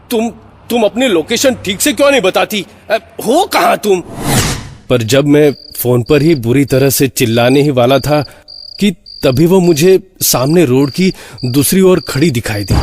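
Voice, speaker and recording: An actor playing an anxious, exasperated man who tells his eerie story in a horror audio drama, halting and repeating words for suspense. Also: on the high side. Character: anxious, exasperated male flat owner recounting eerie events. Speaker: narrator and lead of a horror story.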